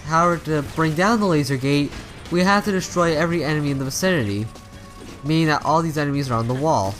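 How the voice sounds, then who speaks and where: A man's voice: on the high side, fast talker, a bit bright, soft background music. A man giving casual let's play commentary, rambling and often stumbling and rephrasing as he goes. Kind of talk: casual video game commentary.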